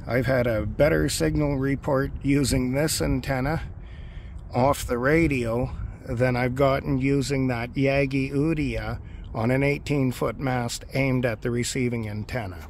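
A man's voice: medium pitch, fairly even intonation, unhurried. Matter-of-fact man, noisy outdoor recording. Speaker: amateur radio hobbyist presenting gear. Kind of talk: informal gear walkthrough narration. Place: outdoors on a lawn. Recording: outdoor recording with clear background noise.

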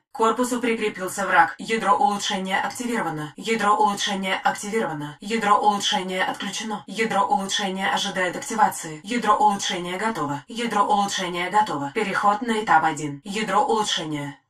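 A woman voicing a calm war-machine AI. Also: mid-range voice, natural voice. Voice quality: crisp, even, measured delivery. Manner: terse military status reports. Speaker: titan AI voice. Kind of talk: game voice lines. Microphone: studio mic.

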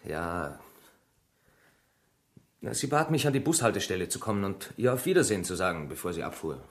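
An actor playing a bitter, defensive village shopkeeper under suspicion in a radio crime drama, curt and indignant. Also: male voice, sits low, sing-song, fairly quick. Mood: guarded, subdued.

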